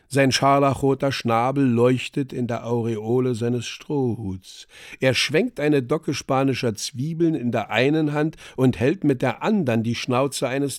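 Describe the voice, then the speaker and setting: A man narrating a radio drama as a measured storyteller, reading vivid literary stage directions deliberately. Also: mid-range voice, dry recording, studio quality, natural voice.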